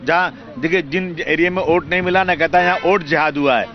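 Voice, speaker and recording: A man's voice: fairly high pitch, a little fast. A man giving a press soundbite in an aggrieved, repetitive way. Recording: dull location sound under music.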